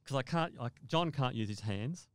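A man's voice: mid-range voice, expressive, normal speed, clean tone, dry recording. An older man telling a first-person story conversationally as a podcast guest, often repeating and rephrasing his words.

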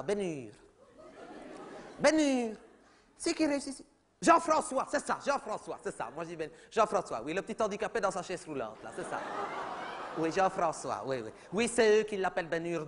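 A rambling, gossipy middle-aged mother, put on by a man in a comedy sketch. She keeps piling on "oui, oui" and talks right over the other person in a very high, thin voice. A faint hiss sits under the voice. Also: fairly bright tone. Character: chatty, oblivious middle-aged mother at a school meeting.

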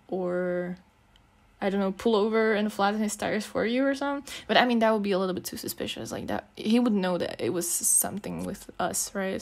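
A young woman speaking in a casual, intimate girlfriend roleplay, teasing, slangy and affectionate. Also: medium pitch. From Eastern Europe. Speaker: ASMR roleplay performer playing a girlfriend.

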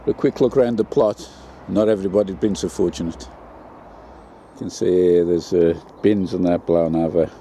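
A man's voice: low voice, steady tone, normal speed, dark tone, a little hiss or hum. A man, chatting casually as he shows his allotment work in a greenhouse. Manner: chatty, homely, plain-spoken. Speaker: allotment vlogger. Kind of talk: casual gardening vlog narration.

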